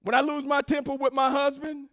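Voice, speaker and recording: A woman pastor delivering a sermon, emphatic and rousing, calling out to the congregation and swinging from low asides into shouted, sing-song cadences. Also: little pitch movement, a little fast. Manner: emphatic preaching with call-and-response.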